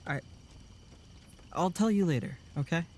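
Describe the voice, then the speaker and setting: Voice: mid-range voice, measured pace, a little mellow, slight background noise, lightly acted. An actor playing an earnest, protective young male camp counselor with a hesitant, reassuring way of talking in an audio drama. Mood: shy.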